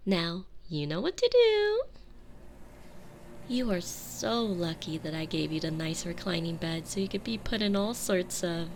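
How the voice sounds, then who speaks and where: A woman's voice: lowish voice, big pitch swings, darkish tone, slight background noise. A woman playing an obsessive, cheerful female kidnapper in an ASMR roleplay, doting and menacing, talking with syrupy affection and condescension close to a binaural mic. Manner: saccharine, slow, affectionate, condescending. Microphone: close binaural microphone.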